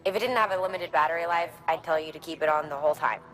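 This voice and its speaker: An actress playing a practical advisor in a video game, explaining things in a casual, dry way. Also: female voice, sits low, racing, a bit bright, soft background music, poor audio. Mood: approving, wry. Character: practical female advisor briefing the hero.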